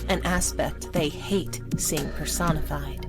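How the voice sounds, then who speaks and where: A woman's voice: low voice, fairly even intonation, measured pace. Woman voicing a condescending female AI over music. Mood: mocking. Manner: condescending, taunting. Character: condescending, coolly mocking female artificial intelligence. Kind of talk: scripted game parody dub.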